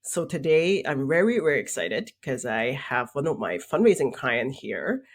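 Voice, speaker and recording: A young woman host with a husky, slightly breathy voice. She talks in an earnest, measured way, and her pitch moves a lot as she goes. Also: very animated. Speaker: podcast host. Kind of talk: podcast intro and interview.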